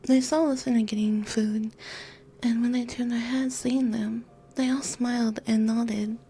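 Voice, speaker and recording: A woman gently narrating a scripted ASMR story, breathy and often whispered, close to a binaural microphone. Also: fairly high pitch, flat delivery, unhurried. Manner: gentle, soft-spoken. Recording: faint background noise.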